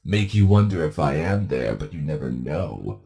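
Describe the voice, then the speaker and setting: A man's voice: rumbling low, very animated, moderate pace, gravelly, warm tone, full character voice. A man playing a sadistic ghostly entity in a creepypasta dramatic reading, taunting its victim in terse, cryptic phrases. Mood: menacing, playful. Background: music.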